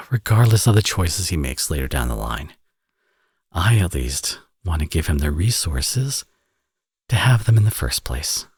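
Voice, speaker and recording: A man's voice: sits low, sing-song, a little slow, slightly rough, dry recording, clean studio sound, exaggerated voice. A man playing a flirty, smug villain who dotes as a father, in a romantic ASMR audio roleplay with playful, conversational delivery. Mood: earnest, persuasive. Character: teasing, flirty male villain, now a doting father.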